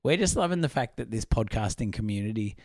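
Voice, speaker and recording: A man co-hosting a casual film podcast, his voice husky from illness, chatty and enthusiastic. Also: lowish voice, animated, fast, darkish tone, no room sound, clean studio sound. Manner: chatty, enthusiastic, laughs often. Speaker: podcast co-host.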